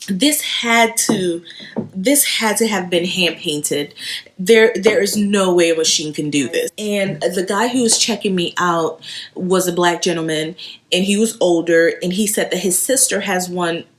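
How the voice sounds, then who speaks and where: A woman's voice: on the low side, measured pace. A woman in her late thirties, originally from Haiti and not a native English speaker, chatting enthusiastically to viewers while showing off her thrift store finds. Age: 30s. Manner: chatty, enthusiastic, addresses viewers as you guys. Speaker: home decor vlogger. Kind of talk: casual thrift haul show-and-tell.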